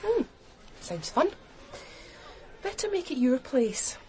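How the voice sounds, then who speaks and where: A woman's voice: sits high, very animated, takes it slowly, dark tone, a little hiss or hum, heavily acted. A woman playing a playful, discreet girlfriend in naturalistic radio drama dialogue.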